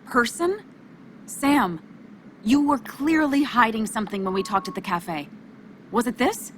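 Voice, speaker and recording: An actress playing an earnest, principled journalist in a video game drama, speaking in an emotional, pleading way. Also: female voice, a little high, a little slow, fairly bright tone, slight background noise. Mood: shocked, distressed. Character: earnest, principled female journalist, anxious but loyal friend.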